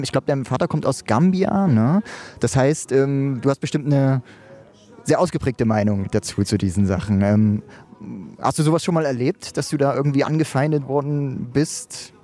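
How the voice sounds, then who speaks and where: Mellow-voiced hesitant male podcast host. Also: mid-range voice. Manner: friendly, hesitant, many "ähm" fillers. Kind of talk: casual podcast interview.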